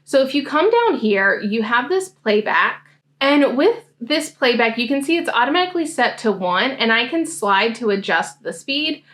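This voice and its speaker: A woman in a tutorial video guides viewers through research software in a friendly, explanatory way. Her voice sits slightly high. She talks as a coach for science graduate students. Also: animated, moderate pace. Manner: friendly, explanatory screen-demo talk. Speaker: science grad school coach.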